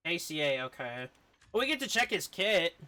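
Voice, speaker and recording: A man casually commentating on a video game as he streams, reacting aloud and excitably over game music. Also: fairly high pitch, moderate pace. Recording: music behind the voice.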